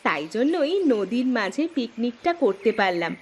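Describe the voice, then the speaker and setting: A chatty, cheerful village woman from a cartoon , played by a voice actress. Her fairly high voice is expressive and animated, with clear ambient noise behind it. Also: moderate pace. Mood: happy. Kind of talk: cartoon voice acting.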